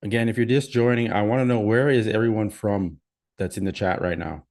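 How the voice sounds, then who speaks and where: A man with a Canadian accent talks casually as he hosts a live stream, reading viewer comments aloud. His voice sits low and has a gravelly, fry-edged texture. He keeps an easy, conversational flow. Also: steady tone, fairly quick, darkish tone. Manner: chatty, reads out viewer comments. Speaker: livestream host.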